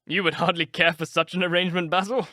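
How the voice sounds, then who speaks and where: A man reading aloud, voicing a witty, languid aristocratic gentleman with an airy, amused manner. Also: high-pitched, steady tone, fast, dry recording, clean studio sound, voice pushed up.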